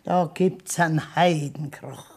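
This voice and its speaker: A woman's voice: deep voice, steady tone, takes it slowly, darkish tone, husky, full character voice. An actress playing an anxious, practical grandmother on a farm, with a Bavarian accent, plain-spoken and pleading in a radio play. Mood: worried, pleading. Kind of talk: 1970s German radio play acting.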